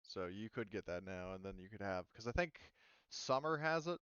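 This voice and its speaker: A man's voice: lowish voice, expressive, normal speed, fairly bright tone, clean tone, no room sound, highs cut off. A man casually explaining tabletop game rules as game master, thinking aloud and hedging as he goes.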